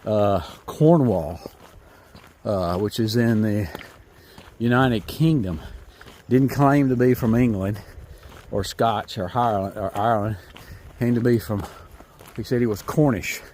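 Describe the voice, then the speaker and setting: A man's voice: on the low side, unhurried, long pauses, darkish tone, slight background noise. A man from North Carolina with a Southern American accent, rambling in a folksy, self-amused way as he vlogs while hiking. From North Carolina, USA. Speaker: hiker vlogger. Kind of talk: casual walking vlog. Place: outdoors on a rural trail.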